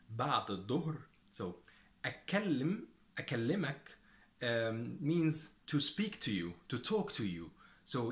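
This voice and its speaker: A man with an Egyptian accent, a native Arabic speaker, giving a language lesson as a teacher and deliberately repeating words and phrases as he explains them. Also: mid-range voice, echoey room.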